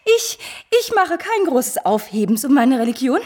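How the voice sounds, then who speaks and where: High-voiced, scheming seductress. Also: female voice, big pitch swings, moderate pace, a little mellow. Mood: tearful pleading. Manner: coaxing, mocking, theatrical. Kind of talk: radio play acting.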